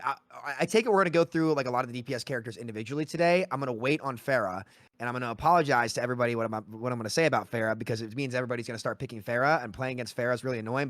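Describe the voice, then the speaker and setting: A man's voice: medium pitch, fairly bright tone, no echo, clean studio sound. A man in his late twenties speaking as a podcast panellist, giving opinions in a casual, emphatic gaming discussion. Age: late 20s.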